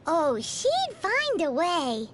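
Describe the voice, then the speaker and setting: Squeaky, very high-pitched little fairy companion. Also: female voice, drawn-out words, slight background noise, full character voice. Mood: insistent. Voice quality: squeaky, childlike. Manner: speaks of self in third person, animated. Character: tiny floating childlike fairy companion, chirpy, cheeky, easily indignant. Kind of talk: animated video game voice acting.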